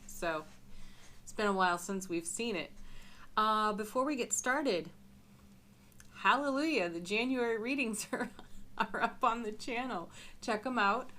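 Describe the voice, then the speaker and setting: A woman giving a chatty daily tarot reading, musing aloud with frequent soft laughs and a slightly scratchy voice. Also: mid-range voice. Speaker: tarot reader.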